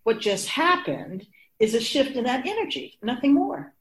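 Woman acting immortal spirit guide, assured, didactic, formal, expressive melody, radio drama. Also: high voice, normal speed. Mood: composed, assured. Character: feminine-voiced immortal spirit keeper of souls, assured and instructive.

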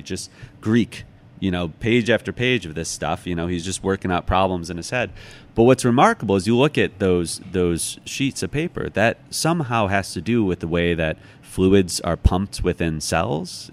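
Low-voiced, thoughtful American man. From United States, Chicago. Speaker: author, interview guest. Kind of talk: reflective conversational interview.

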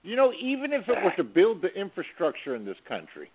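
A man's voice: high-pitched, wildly swooping pitch, quick pace, a crowd in the background. A man talking politics on a casual talk radio show, earnest and exasperated, rambling through fillers as he goes. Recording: tinny phone-like radio audio.

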